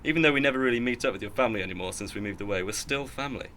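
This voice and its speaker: A man acting a well-off, boastful, stern middle-aged uncle in a radio drama, with a pompous, measured way of talking. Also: mid-range voice, a bit bright, slight background noise, natural voice.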